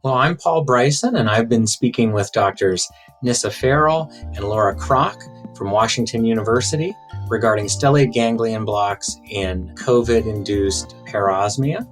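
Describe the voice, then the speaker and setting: A man's voice: mid-range voice, unhurried, faint music behind. A man hosting a podcast, interviewing guests in a conversational way. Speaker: podcast host interviewing doctors.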